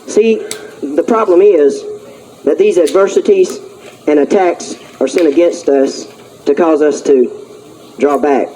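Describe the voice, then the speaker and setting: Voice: medium pitch, a little slow, long pauses, dark tone, low-quality recording. A preacher delivering a fervent sermon, emphatic and repetitive, with rousing call-outs to the congregation.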